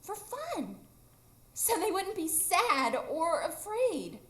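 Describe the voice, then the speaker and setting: Ageless mischievous boy, woman performer, high voice, very animated, carefree, live radio play in an echoey room. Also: slow, exaggerated voice. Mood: matter-of-fact. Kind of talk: live radio drama acting. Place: improv theatre stage, live audience. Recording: roomy live stage recording.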